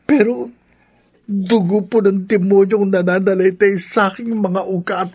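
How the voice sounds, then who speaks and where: An actress playing an anguished young character in a horror radio drama, speaking in a pained, sorrowful, hesitant way. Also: female voice, mid-range voice, fairly even intonation, measured pace, darkish tone, slightly rough. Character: anguished young person learning of own demon blood.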